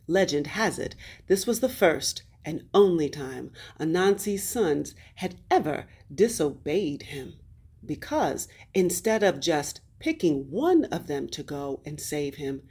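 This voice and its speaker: A woman storyteller with a husky, lowish voice takes her time over a folktale. She narrates expressively as host, stretching key words and leaving dramatic pauses between phrases. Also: lively intonation, a little mellow.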